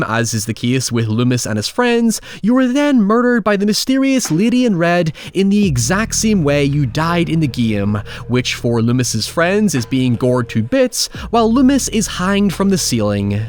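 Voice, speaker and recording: Animated, higher-voiced man narrating a video essay. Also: a little hiss or hum. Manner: wry, sardonic asides with casual swearing. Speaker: host of a film retrospective show.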